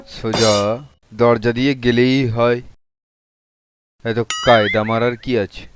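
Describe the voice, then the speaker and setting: A man's voice: mid-range voice, little pitch movement, takes it slowly, lightly acted. A man voicing a whiny, cowardly buffalo demon in a comic cartoon, complaining and grumbling. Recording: loud music under the voice.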